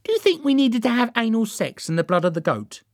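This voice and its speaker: A man voicing a chirpy, eager and rather dim male cultist sidekick in a comic audiobook, bright and sing-song in manner. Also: very high-pitched, sing-song, fairly quick, no echo, clean studio sound, pitched above the performer's own voice, full character voice.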